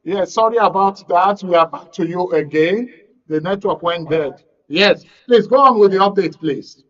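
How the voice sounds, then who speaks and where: A man's voice: sits high, normal speed, fairly bright tone, lo-fi sound. A man with a Nigerian accent hosting live election coverage, courteous and often repeating his phrases. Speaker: programme host.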